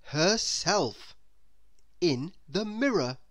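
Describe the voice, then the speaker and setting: A woman's voice: fairly low pitch, expressive, very slow. A woman reading a children's storybook aloud, expressively and as if speaking straight to young listeners.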